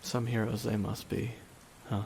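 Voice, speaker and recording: A man playing a bitter, weary supervillain in a dramatic ASMR audio roleplay, speaking deliberately with long pauses to a dying nemesis. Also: on the low side, gravelly. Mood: scornful. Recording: steady rain noise behind the voice. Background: rain falling on surfaces.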